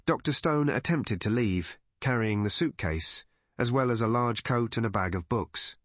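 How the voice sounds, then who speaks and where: A man narrates a story in scripted audiobook fashion. His voice sits at a medium pitch, and the recording is dry with no room around it. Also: expressive, moderate pace, fairly bright tone, dry recording.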